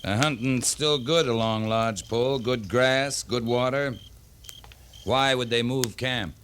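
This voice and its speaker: An actor playing a tough, steady cavalry captain in a 1950s radio western, terse and matter-of-fact. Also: male voice, medium pitch, a little slow, slight background noise, lightly acted. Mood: calm, bargaining.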